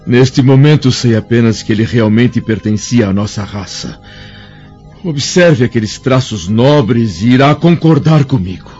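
A man's voice: medium pitch, expressive, measured pace, darkish tone, close to an everyday voice. A Brazilian man acting a blind, wounded, dignified older Roman senator in a radio drama, with formal, solemn diction. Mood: somber. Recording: dull lo-fi audio, music underneath.

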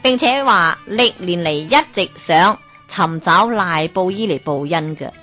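A woman with a Hong Kong Cantonese accent tells a folk tale in a lively way. She often turns to the listener with rhetorical questions. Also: fairly low pitch, unhurried, faint music behind. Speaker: storyteller.

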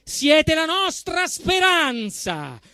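Male, Roman accent, stage satirist. Bright, forceful voice, big dynamic swings from deadpan to indignant, dry sound. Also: very high voice, very slow, no echo. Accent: Roman Italian. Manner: emphatic, swinging from hushed deadpan to shouted outrage. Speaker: actor performing a comic monologue. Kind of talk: theatrical satirical monologue to a live audience.

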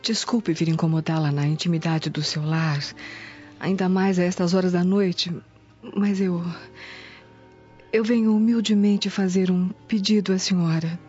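A woman's voice: lowish voice, fairly even intonation, measured pace, a little mellow, lightly acted. An actress as a humble, pleading Roman noblewoman. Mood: apologetic, hesitant. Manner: deferential, hesitant, polite. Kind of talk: radio drama acting. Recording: dull lo-fi audio, music behind.

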